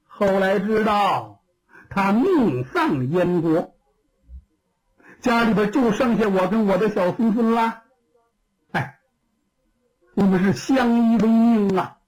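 A storyteller playing an elderly grieving woman, wailing, slow tearful lines, pitch sweeping up and down. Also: breathy edge, poor audio. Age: older. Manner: wailing, drawn-out crying speech.

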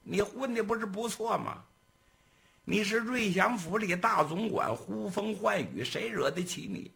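A man voicing a bullying middle-aged male gang boss in a traditional storytelling piece, talking in a loud, scornful bark. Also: sits high, measured pace, vocal fry, pitched above the performer's own voice, heavily acted. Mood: gruff.

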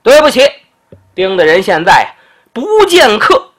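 Dude doing a snooty adviser guy, short answers, bright voice. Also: sits high, huge pitch swings, unhurried, heavily acted. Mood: curt, arrogant. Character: arrogant male official's adviser, later fawning.

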